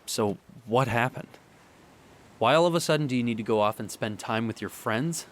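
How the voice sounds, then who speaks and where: A young man performing a radio drama monologue, halting and sighing as he pleads with an absent partner. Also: mid-range voice, a little hiss or hum. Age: young adult. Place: beside the sea.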